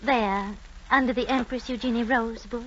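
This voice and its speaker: A woman's voice: on the high side, steady tone, moderate pace, natural voice. An actress playing a genteel, composed lady suspect in an old-time radio drama, with a refined, imperious manner. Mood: guarded, smooth. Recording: dull, noisy vintage radio recording.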